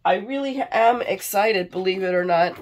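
A middle-aged American woman, sick and low on energy, chatting casually and rambling in a confiding way for a craft vlog. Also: moderate pace, a little mellow, highs cut off, slight background noise. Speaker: craft vlogger. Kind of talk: casual chat in an unboxing vlog. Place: indoors at a craft table.

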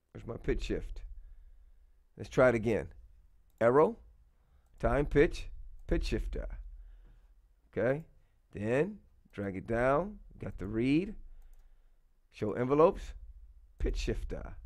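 A man's voice: medium pitch, darkish tone. A man hosting an audio production tutorial, talking himself informally through each step on screen. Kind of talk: casual screen-recorded software tutorial.

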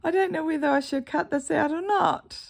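A woman with a New Zealand accent chatting casually in a stitching vlog, rambling through a story and often clearing her croaky throat. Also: high voice, fairly quick. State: croaky morning voice. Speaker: cross-stitch vlogger.

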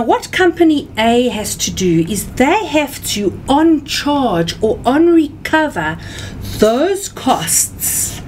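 A middle-aged South African woman explaining something step by step in a measured, instructional way, as a seminar speaker. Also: fairly high pitch, slight background noise, rough recording. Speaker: tax seminar speaker and writer. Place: small indoor office or meeting room.

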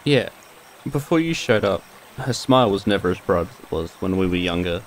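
A man's voice: low-pitched, expressive, fairly quick, a little hiss or hum. A man playing a boisterous but sincere young country brother in a roleplay audio. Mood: playful, sincere.

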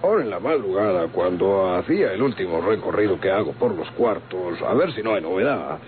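Low-voiced, hesitant older Mexican watchman. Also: expressive, fairly quick. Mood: hesitant, discreet. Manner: hesitant, apologetic, formal. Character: deferential, hesitant retired clerk turned night watchman. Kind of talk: old radio soap opera acting. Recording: tinny, faintly noisy vintage radio recording.

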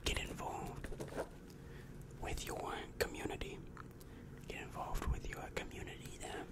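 Whispering young man, ASMR roleplay. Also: measured pace. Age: young adult. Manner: soft, hesitant, reassuring, repeats phrases. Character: helpful, polite male demon guidance counselor in hell. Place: small dim room indoors. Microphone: large microphone right in front of the mouth.

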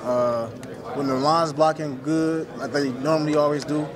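Young man, soft-spoken, halting, lots of fillers, press scrum, loud crowd chatter behind. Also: fairly high pitch, fairly even intonation. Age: young adult. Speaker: college football player. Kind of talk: post-game press interview. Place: indoor media room with reporters around. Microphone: reporters' handheld recorders held close.

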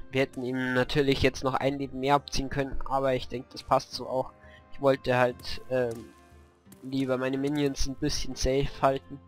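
A man with a cold and a hoarse voice, casually commentating a card game and thinking aloud about his moves, with many fillers. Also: mid-range voice, monotone, normal speed. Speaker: gameplay commentator. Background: faint background music.

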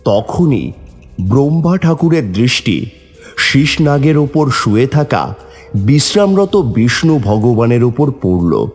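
A man's voice: mid-range voice, sing-song, takes it slowly, darkish tone, slightly rough, faint music behind. A man narrating a scripted mythological story as its storyteller.

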